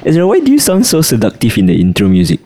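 Young man with a very lively voice. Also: medium pitch, quick pace. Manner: lively, giggly banter. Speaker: podcast co-host. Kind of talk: casual chat.

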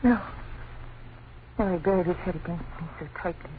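An actress playing a terrified, protective mother, halting and breathless in a 1930s radio horror drama. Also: lowish voice, natural voice. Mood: shaken. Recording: noisy, tinny 1930s radio recording.

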